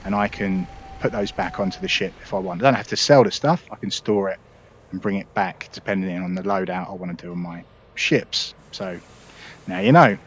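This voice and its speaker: Low-voiced man explaining gameplay. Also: moderate pace, slightly rough. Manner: relaxed, explanatory, talks viewers through steps. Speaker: gaming video host. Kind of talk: casual gameplay tutorial.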